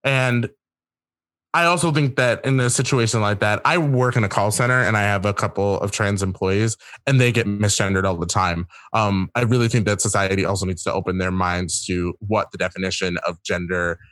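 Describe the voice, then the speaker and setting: A man speaking as a guest in a casual discussion, earnest and reflective, often pausing to rephrase. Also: fairly low pitch, steady tone, fairly bright tone, no room sound, clean studio sound.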